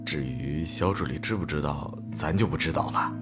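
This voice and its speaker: Very low, folksy middle-aged henpecked driver. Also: male voice, moderate pace, music in the background. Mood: hesitant, confiding. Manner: deferential, folksy, hesitant. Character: sheepish, henpecked 45-year-old working-class male driver. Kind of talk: animated crime drama dialogue.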